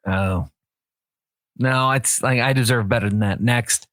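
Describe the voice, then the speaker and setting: A man's voice: medium pitch, unhurried, fairly bright tone, no echo, pitched below the performer's own voice, lightly acted. A man playing an eager young caller on a phone dating service who insists too hard that he sounds manly, in a dry studio. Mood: insistent.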